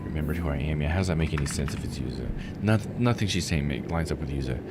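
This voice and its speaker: A man's voice: extremely low pitch, fast talker, very noisy. A man giving casual live commentary as he plays a game, thinking aloud in disbelief. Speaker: game streamer. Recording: music behind the voice. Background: game music.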